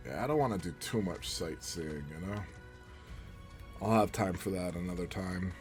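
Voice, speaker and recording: Deep-voiced man with a booming voice. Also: normal speed. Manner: booming, relaxed, joking asides. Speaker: philosophy professor playing a game. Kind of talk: casual gameplay commentary. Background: game music and sounds.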